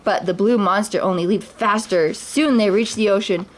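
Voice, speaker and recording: A woman reading a children's picture book aloud at story time. Her gentle read-aloud has the odd stumble and restart. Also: mid-range voice, normal speed, low-quality recording. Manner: gentle, with small slips and restarts.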